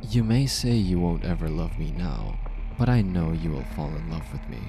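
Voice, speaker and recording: Man acting a very deep-voiced possessive mafia boss. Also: lively intonation, normal speed, music in the background. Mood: smug, seductive. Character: possessive male mafia boss, smug, commanding, obsessively devoted. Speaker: ASMR roleplay voice actor. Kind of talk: intimate ASMR roleplay acting.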